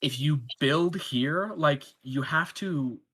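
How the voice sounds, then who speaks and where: A man's voice: fairly high pitch, takes it slowly, sharp tone, slightly rough. Rambling young man arguing his case. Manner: rambling, filler-heavy argument. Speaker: debate candidate. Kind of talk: casual roleplay debate.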